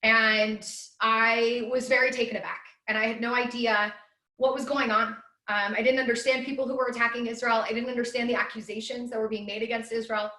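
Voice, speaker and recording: A woman's voice: on the high side, little pitch movement, a little fast, pushed and bright, roomy sound, poor audio. A woman in her thirties, originally from Los Angeles, giving an upbeat live webinar talk from home in a chatty storytelling way with frequent fillers. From Los Angeles, USA. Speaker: guest speaker, educator.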